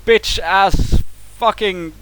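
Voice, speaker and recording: A man's voice: high voice, extremely slow, fairly bright tone, no echo. A man with a Swedish accent whose first language is Swedish, ranting angrily to camera, heated and profane, often stumbling and restarting words. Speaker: vlogger reacting to a video. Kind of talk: angry rant to camera.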